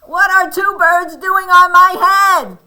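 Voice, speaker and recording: Lady doing a worked-up boy elephant from a kids book, high pitched, super indignant, swoops all over. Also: very animated, takes it slowly, exaggerated voice. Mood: startled disbelief. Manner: loud, indignant, exclaiming in disbelief. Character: exasperated, excitable male cartoon elephant from a picture book.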